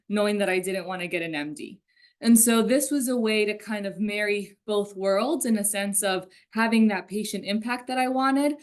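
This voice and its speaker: Conversational woman from Colombia. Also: medium pitch. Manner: conversational, storytelling with asides. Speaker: career panelist. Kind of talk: panel talk.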